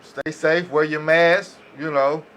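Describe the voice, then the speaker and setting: A man's voice: a little high, steady tone, slow, a bit bright, a little hiss or hum. A man talking casually as a panelist on a podcast, in informal slangy speech. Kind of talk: casual chat.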